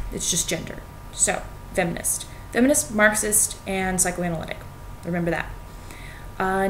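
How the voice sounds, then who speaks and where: A woman's voice: mid-range voice, a little hiss or hum, poor audio. A young adult woman gives an informal explanatory talk to viewers in a conversational, self-deprecating way with colloquial asides. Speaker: student making educational videos.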